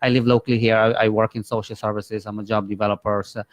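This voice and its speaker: A man's voice: on the low side, little pitch movement, fast talker, no room sound. A man from Guyana hosting a radio talk show, chatting in a friendly, rambling way with many fillers. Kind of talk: casual chat. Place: radio studio.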